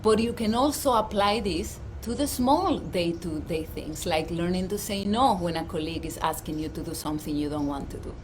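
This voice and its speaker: A woman's voice: fairly low pitch, expressive, normal speed, hardly any pauses, slight background noise. Woman, Dominican accent, middle-aged, life coach, earnest and emphatic, outdoors, faint ambience behind. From Dominican Republic. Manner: warm, earnest, emphatic presenter. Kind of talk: motivational livestream talk. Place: outdoors at her home.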